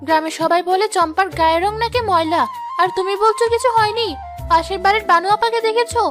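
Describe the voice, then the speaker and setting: A woman's voice: extremely high pitch, quick pace, a bit bright, soft background music. A woman voicing a vain, melodramatic young village wife in a cartoon moral story, complaining in a whiny, dramatic way. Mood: resentful, sulky.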